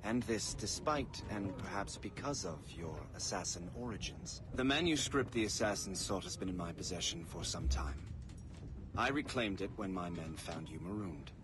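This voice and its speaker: An actor playing a dignified, resigned British colonel, narrating a letter formally and solemnly as a game voice-over. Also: male voice, low voice, expressive, normal speed. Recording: clear background noise.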